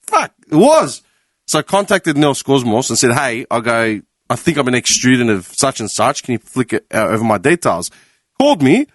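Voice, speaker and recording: A man's voice: medium pitch, a bit bright, no room sound. A man with an Australian accent telling a story on a casual podcast, swearing and acting out what others said. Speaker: podcast panelist. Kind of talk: casual chat.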